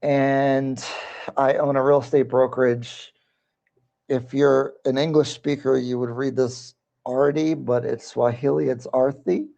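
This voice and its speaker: Male livestream host, casual and rambling, gravelly mellow voice. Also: medium pitch, flat delivery, unhurried, muffled recording. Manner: rambling, conversational, reads viewer comments aloud. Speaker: livestream host, real estate broker.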